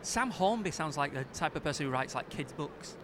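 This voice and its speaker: A man with a nasal voice co-hosting a podcast, talking quickly with stammering restarts. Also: on the high side, lively intonation, background noise. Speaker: podcast co-host. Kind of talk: casual football watchalong chat.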